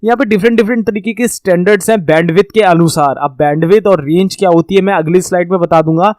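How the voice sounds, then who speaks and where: High-voiced man teaching networking in Hindi. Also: quick pace, a little mellow, dry recording, clean studio sound. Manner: conversational teaching, addresses viewers directly, mixes English terms into Hindi. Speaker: networking course instructor. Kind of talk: explaining slides in a recorded lesson.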